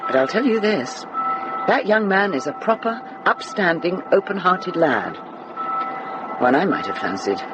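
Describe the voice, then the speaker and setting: A woman's voice: on the low side, big pitch swings, unhurried. A woman playing a shrewd, kindly, mature medieval nun in a radio drama, frank and wry in manner. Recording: dull recording with music behind.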